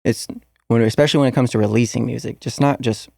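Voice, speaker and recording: A man's voice: medium pitch, steady tone, fast, creaky, dark tone, no room sound, clean studio sound. A young man, a musician guest in an interview, answering casually with many fillers and restarts.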